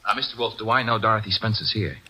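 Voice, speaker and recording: An actor playing a wisecracking young assistant in a 1940s radio drama, breezy and cheeky. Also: male voice, on the low side, fast, background noise, close to an everyday voice. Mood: wry.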